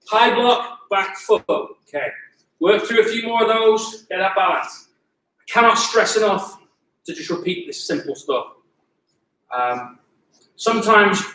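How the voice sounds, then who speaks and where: A man's voice: high voice, fairly bright tone. A man in his late forties teaching a Muay Thai class online, calling out short repeated cues with self-deprecating asides. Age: 40s. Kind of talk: live online class instruction. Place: gym. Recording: echoey gym from a distance.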